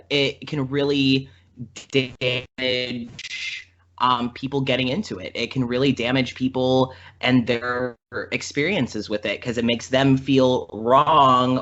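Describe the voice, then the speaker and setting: A man's voice: mid-range voice, fairly bright tone. A man speaking as a podcast guest in casual conversation, earnest and rambling, repeating phrases for emphasis. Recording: dull lo-fi podcast audio.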